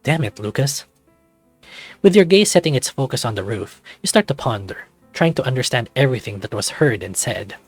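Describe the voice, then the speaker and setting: A man doing casual commentary and read-aloud narration as host of a let's-read series, with a halting way of talking. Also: mid-range voice, animated, husky, soft background music. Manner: hesitant, halting when giving own thoughts.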